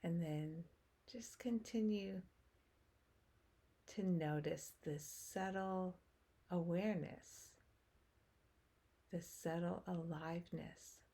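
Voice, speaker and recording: A woman guiding a meditation as a teacher, speaking in short phrases with long pauses and hushed endings. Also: on the low side, steady tone, slightly rough. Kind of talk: guided meditation, slow spoken instruction.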